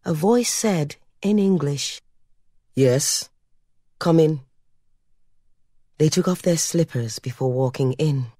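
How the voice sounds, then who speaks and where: Female audiobook narrator, deep mellow voice, literary storytelling with a measured, expressive delivery. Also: husky, no room sound, studio quality.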